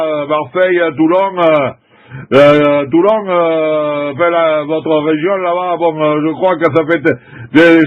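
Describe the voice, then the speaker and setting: A man's voice: fairly high pitch, dull audio. A middle-aged man from France chatting casually and informally as a CB radio operator, using radio jargon. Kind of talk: casual CB radio contact chat.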